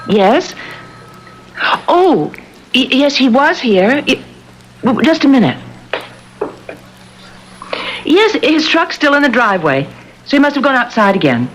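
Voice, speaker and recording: A woman's voice: sits high, sing-song, measured pace, pauses often. A woman playing a puzzled, anxious suburban wife in a 1970s radio drama. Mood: flustered. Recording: noisy 1970s radio broadcast.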